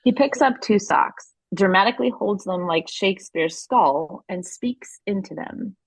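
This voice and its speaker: A woman's voice: mid-range voice, measured pace, slightly rough, no echo, rough recording. A woman narrating an audio play, reading scripted stage action and scene settings.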